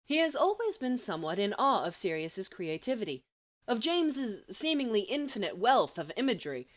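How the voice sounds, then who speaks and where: A woman reading a story aloud as an amateur audiobook narrator, in an expressive, dramatic and wry manner. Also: fairly high pitch, moderate pace. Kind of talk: expressive scripted fiction narration.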